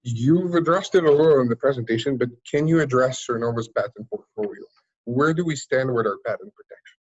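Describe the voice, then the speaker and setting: A man's voice: medium pitch, a little fast, no echo. A man acting as moderator, reading out formal prepared questions on an investor conference call. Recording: dull, dry lo-fi call audio.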